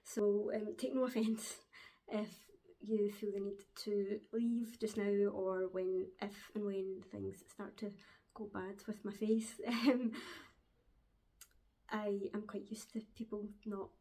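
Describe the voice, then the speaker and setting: A Glaswegian woman hosting a crafting podcast from home, chatting casually to camera, hesitant with frequent ums and quick laughs. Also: mid-range voice, little pitch movement, warm tone, poor audio. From Glasgow, Scotland. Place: at home, seated with a dog on her lap.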